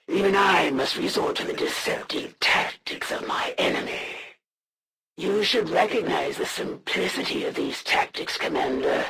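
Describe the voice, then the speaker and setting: A haughty, honor-bound alien assassin from a video game. The delivery is formal and menacing, with wildly swooping pitch over a heavy, crackling rasp. Each phrase is drawn out slowly and solemnly. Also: fairly bright tone, full character voice. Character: proud, honor-bound alien assassin serving dark masters. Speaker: villain voice in a strategy video game. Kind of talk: dramatic scripted game voice acting.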